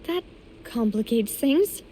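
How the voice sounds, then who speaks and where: A woman playing a composed, cautious young woman in an anime-style video game, speaking in a measured, earnest way. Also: on the high side, animated, mellow, a little hiss or hum. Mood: resigned, earnest. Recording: faint game music behind the voice.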